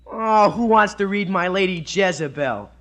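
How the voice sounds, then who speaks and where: A man's voice: sits high, lively intonation, normal speed, a bit bright. A man playing an eager, whiny teenage son with gee-whiz boyishness in a 1950 radio sitcom. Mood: pleading. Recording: vintage 1950 radio recording.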